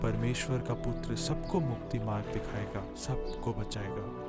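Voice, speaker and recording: A man playing a solemn prophet who preaches repentance in a measured, exhorting way in a cartoon Bible story. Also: on the low side, fairly even intonation, close to an everyday voice. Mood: solemn exhortation. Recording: loud background music.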